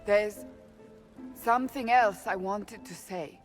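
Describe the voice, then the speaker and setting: A woman's voice: mid-range voice, slow pace, soft background music, lightly acted. An actress playing a serious, privately tender warrior woman in a game cutscene, speaking earnestly. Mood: earnest, wistful. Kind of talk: video game cutscene drama.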